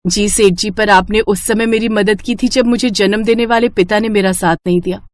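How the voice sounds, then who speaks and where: A woman's voice: medium pitch, fairly even intonation, moderate pace, dry recording, lightly acted. A woman voicing a humble, hardworking poor mother in a children's moral story, deferential and earnest. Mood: grateful.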